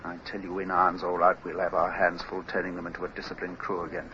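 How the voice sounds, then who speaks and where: An anxious, grumbling naval officer, quick and sharp in a low, urgent voice. It is a vintage radio drama with a muffled sound. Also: male voice, monotone, a little hiss or hum. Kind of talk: 1950s radio drama acting.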